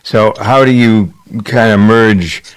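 Radio host man, low voice. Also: takes it slowly, clear, resonant tone, rough recording. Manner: conversational, probing questions. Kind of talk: radio interview.

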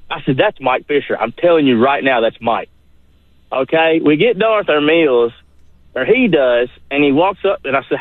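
A man's voice: mid-range voice, no echo. A man telling stories casually in a folksy, colloquial way as a caller to a radio show. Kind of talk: casual storytelling. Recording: tinny phone line.

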